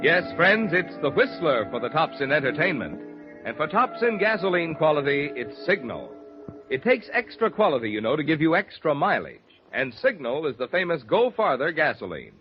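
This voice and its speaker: A man's voice: on the high side, big pitch swings, moderate pace. A man announcing in an emphatic, salesmanlike 1940s radio style. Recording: dull 1940s radio recording, music.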